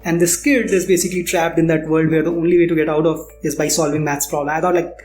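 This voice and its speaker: A man's voice: a little high, fairly even intonation, fast talker, a little mellow. A man with an Indian accent answering interview questions as a founder, candidly explaining and repeating phrases for emphasis. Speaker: startup founder being interviewed. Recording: roomy echo, music underneath.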